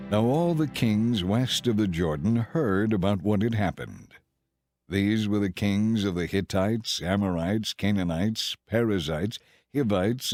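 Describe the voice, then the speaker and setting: Casting: male scripture narrator, deepish voice, solemn measured storytelling, very dry studio-like sound. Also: a little mellow, no room sound.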